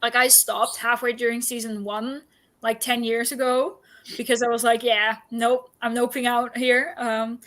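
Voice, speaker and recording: Female stream co-host, bright and slightly high, informal, self-deprecating chat. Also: little pitch movement, measured pace. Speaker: co-host of reading livestream. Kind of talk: casual chat.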